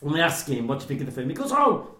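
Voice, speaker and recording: A man talking films, opinionated and emphatic, his voice sitting high and swinging widely in pitch. He swears freely. The sound carries a roomy echo. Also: sing-song, very fast, pushed and bright. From Britain. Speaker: podcast co-host. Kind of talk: casual film chat.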